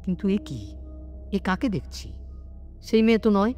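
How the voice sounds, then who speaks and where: A man telling a scripted ghost story in an expressive, literary storytelling manner. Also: high voice, moderate pace. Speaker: storyteller, audio story narrator. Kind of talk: dramatic scripted narration of a Bengali ghost story. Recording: music bed under the narration. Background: background music.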